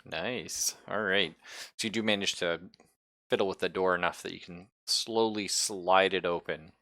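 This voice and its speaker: Low creaky-voiced male game master. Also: fairly even intonation, pushed and bright. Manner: halting, thinking aloud. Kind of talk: improvised tabletop game narration.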